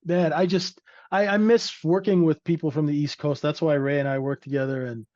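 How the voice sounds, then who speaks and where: Male co-host, slightly high voice, casual talk, muffled lo-fi mic. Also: steady tone, a little fast, darkish tone, dry recording. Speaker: podcast co-host. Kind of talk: casual chat. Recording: dull lo-fi microphone.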